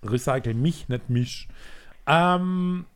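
A man's voice: on the high side, slow. A man co-hosting a casual music podcast, joking and punning between mock-formal announcements. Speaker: podcast co-host. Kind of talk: casual chat with wordplay.

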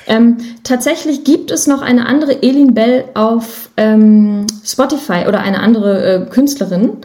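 Woman with a mellow, chatty voice. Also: fairly high pitch, a little slow. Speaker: podcast guest, musician and voice artist. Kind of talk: casual interview conversation.

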